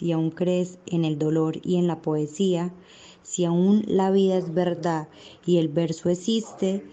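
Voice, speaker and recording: A Colombian woman introducing herself and reciting a poem in a measured, careful way. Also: lowish voice, dark tone. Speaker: poetry reader. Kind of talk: slow poetry recital. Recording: dull lo-fi sound.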